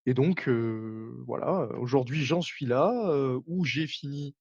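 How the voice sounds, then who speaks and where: A man voices a former navy commander who went into state politics. He speaks formally yet haltingly, stopping often to gather his words. The recording is muffled and completely dry. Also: mid-range voice, darkish tone, husky, dry recording. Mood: measured. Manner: formal, hesitant, frequent filler sounds and restarts. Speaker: interview guest. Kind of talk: roleplay interview. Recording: dull dry sound.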